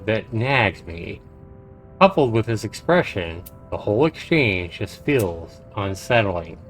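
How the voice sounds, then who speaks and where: Low-voiced man reading a story aloud. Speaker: streamer reading a visual novel aloud. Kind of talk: live game stream narration, reading aloud. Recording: game music behind. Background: video game music.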